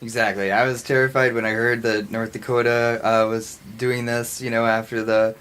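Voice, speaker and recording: A man's voice: medium pitch, little pitch movement, moderate pace, a bit bright, smooth. An American man co-hosting a podcast in casual, rambling, joking banter with some swearing. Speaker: podcast co-host.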